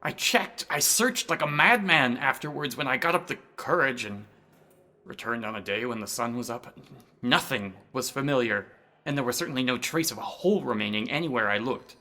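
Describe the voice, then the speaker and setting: An actor in a horror radio drama playing a timid, anxious scholar with nervous, old-fashioned formal speech. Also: male voice, medium pitch, normal speed, bright, forceful tone, close to an everyday voice. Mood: haunted.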